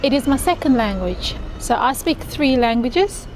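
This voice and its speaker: Chatty South African woman, slightly high. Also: expressive, moderate pace, slight background noise. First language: Afrikaans. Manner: chatty, laughs easily. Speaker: interviewee. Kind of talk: recorded interview answers.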